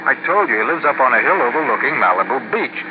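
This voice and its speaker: A man's voice: mid-range voice, big pitch swings, quick pace, lightly acted. An actor playing a cautious, flustered insurance man in an old radio drama, hesitant and puzzled. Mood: puzzled, defensive. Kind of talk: 1950s radio drama acting. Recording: tinny, noisy old radio recording.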